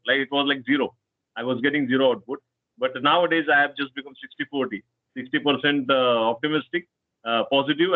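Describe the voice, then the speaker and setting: A man's voice: mid-range voice, no echo. A man hosting a casual English practice chat, talking hesitantly with many fillers and tag questions. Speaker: channel host, conversation partner. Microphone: online call. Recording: tinny dry phone-like call line.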